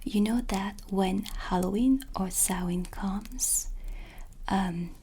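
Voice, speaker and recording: Woman playing a slow, whispering kindly witch. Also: mid-range voice. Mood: warm, confiding. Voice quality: breathy, whispery soft voice. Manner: gentle, unhurried, confiding. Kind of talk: ASMR cinematic roleplay. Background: ambient music.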